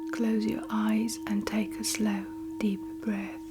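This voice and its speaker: A woman leading a guided meditation in a breathy whisper, in deliberate phrases with long pauses between them. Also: mid-range voice, level tone, heavy background noise.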